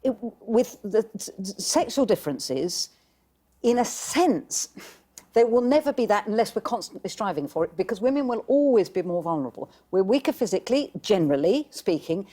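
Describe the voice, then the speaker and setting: A woman's voice: medium pitch, very animated, moderate pace, warm tone. A woman debating on a studio panel, full of hesitant restarts yet insistent on making her point. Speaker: panel guest.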